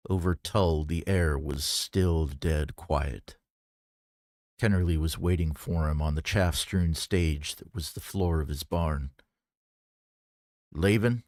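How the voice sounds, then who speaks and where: A man reading a novel aloud in a measured, dramatic storytelling manner. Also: a bass voice, a little mellow, no room sound, studio quality. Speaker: audiobook reader. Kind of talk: scripted fiction narration.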